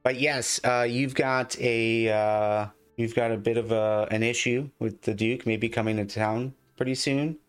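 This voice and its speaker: A man improvising tabletop game narration as game master, speaking haltingly and often repeating words. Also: mid-range voice, fairly even intonation, clear, resonant tone, faint music behind.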